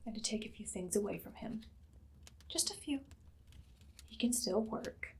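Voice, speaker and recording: A woman playing an imperious, idly cruel evil queen in an ASMR roleplay, soft-spoken and often whispering in a languid, condescending way. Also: medium pitch, lively intonation, normal speed, slight background noise, natural voice. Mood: menacing amusement. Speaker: ASMR performer.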